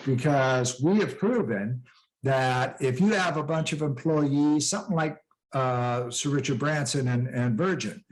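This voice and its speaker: A man's voice: mid-range voice, a little slow, poor audio. A middle-aged American man, a guest author and business coach, talking casually in an interview with many fillers and restarts over a video call. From USA.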